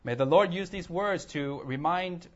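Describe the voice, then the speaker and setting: Male sermon interpreter, lighter pitch, halting phrase-by-phrase delivery, dull lo-fi recording. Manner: halting, searching for words. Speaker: church interpreter. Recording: dull, lo-fi audio.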